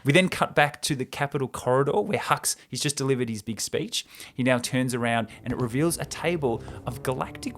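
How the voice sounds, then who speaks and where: A man's voice: medium pitch, fairly bright tone. A man co-hosting a show, retelling a film script in an informal, enthusiastic, chatty way. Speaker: podcast co-host.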